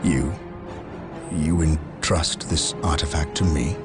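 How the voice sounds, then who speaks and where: A man playing a stern, zealous space marine captain in a video game, with a gravelly voice and solemn, declamatory delivery. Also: very deep voice, steady tone, slow, music in the background. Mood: resolute. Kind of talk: video game dramatic acting.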